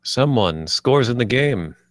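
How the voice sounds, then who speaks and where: A man's voice: medium pitch, lively intonation, a little slow, no room sound, studio quality. A man reading scripted stage directions as the narrator of a live screenplay table read.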